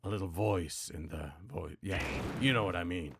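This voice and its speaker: A man's voice: sits low, moderate pace, vocal fry, noisy background. A man from Norway who speaks natively, practicing voice acting while playing a video game. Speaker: voice actor practicing a character voice. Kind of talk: improvised practice narration over video game play.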